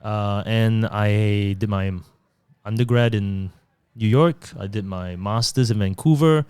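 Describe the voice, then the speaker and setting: A middle-aged man from Singapore and Taiwan, a guest on a podcast, chatting in a relaxed, self-deprecating way. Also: on the low side, slow, smooth, no room sound, clean studio sound. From born in Taiwan, raised in Singapore. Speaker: podcast guest, digital marketer. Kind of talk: casual interview conversation.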